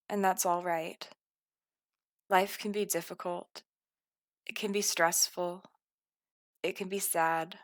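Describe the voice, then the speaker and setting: A woman guiding a scripted meditation in a calm, soothing, deliberate manner. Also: lowish voice, no room sound, studio quality. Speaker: meditation guide.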